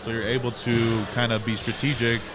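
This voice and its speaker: A man's voice: mid-range voice, little pitch movement, brisk, noisy background. A man in his twenties giving live sports commentary, explaining technique in a technical way. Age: 20s. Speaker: strength competition commentator and coach.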